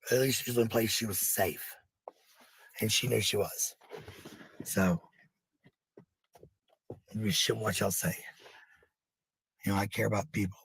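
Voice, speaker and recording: A middle-aged man with a gravelly, husky voice talking casually to viewers in a blunt, profane, rambling monologue, repeating phrases for emphasis. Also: lowish voice, fairly even intonation, a little slow, long pauses, fairly bright tone, no echo, low-quality recording.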